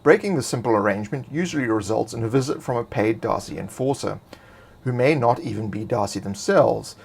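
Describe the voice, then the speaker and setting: A man reading scripted fantasy game lore in a measured, expository way. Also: medium pitch, creaky, husky. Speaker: narrator.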